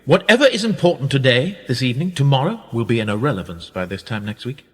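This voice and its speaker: A man playing a genial, wry gentleman receiving a visitor in a radio play, courteous and philosophical in manner. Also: medium pitch, animated, quick pace, slightly rough.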